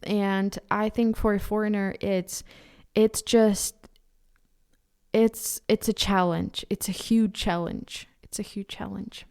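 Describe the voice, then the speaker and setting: A Ukrainian woman, a native Ukrainian speaker, hosting a solo podcast in English with an earnest, halting delivery full of restarts. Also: mid-range voice, level tone, creaky, darkish tone, clear, resonant tone. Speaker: podcast host.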